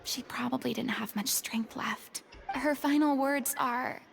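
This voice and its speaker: Grave, slightly creaky young-sounding investigator. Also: female voice, high-pitched, a little slow, slight background noise, exaggerated voice. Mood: somber. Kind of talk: video game voice acting.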